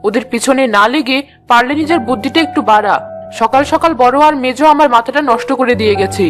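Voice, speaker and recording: Woman as a fast-nagging village mother. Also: fairly high pitch, over music, close to an everyday voice. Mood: exasperated. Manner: sharp-tongued, nagging. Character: irritable, scolding village mother of seven sons. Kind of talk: Bengali fairy-tale cartoon dub.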